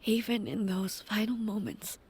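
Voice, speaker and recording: Soft, husky, solemn immortal female ruler. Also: mid-range voice, animated. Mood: grieving. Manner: measured, formal, grave. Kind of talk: scripted video game voice acting, formal storytelling.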